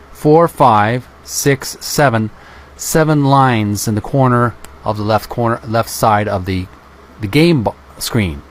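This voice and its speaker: A man hosting a channel, reading a book aloud and adding informal descriptive commentary in halting, piecemeal phrases. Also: mid-range voice.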